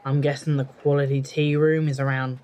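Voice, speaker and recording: An actor playing an arrogant, sarcastic young occultist in an audio drama, speaking with overconfidence. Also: male voice, medium pitch, little pitch movement, brisk, warm tone, lightly acted. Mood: smug, dismissive. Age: young adult.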